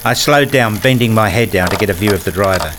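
A man reading scripted first-person narration for a radio play, telling it in a measured, literary way. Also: lowish voice, noisy background.

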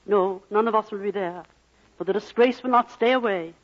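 A woman's voice: medium pitch, normal speed, lightly acted. A middle-aged woman acting a plain-spoken, loving miner's wife and mother in an old radio drama. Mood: sorrowful. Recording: dull old 1940s radio recording.

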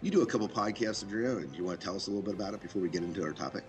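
A man's voice: sits low, very fast. A man hosting a podcast, chatting casually and putting questions to his guest. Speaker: podcast host and interviewer.

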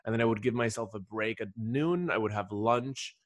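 A man's voice: fairly low pitch, moderate pace, no echo. A man from Ecuador talking casually as a podcast guest, telling stories in a rambling way with repeated restarts. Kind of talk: casual conversational storytelling.

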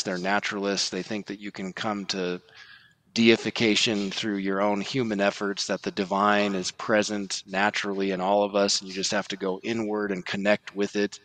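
A man giving earnest podcast commentary in a measured, explanatory way with formal wording. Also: on the low side, a bit bright, dry recording, low-quality recording.

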